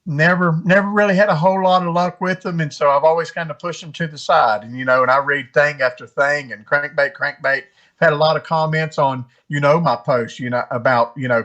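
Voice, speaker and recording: A man from West Virginia talking casually as an interview guest, halting and often repeating his words. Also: on the high side, fairly bright tone. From West Virginia, United States. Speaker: interview guest, amateur tournament angler.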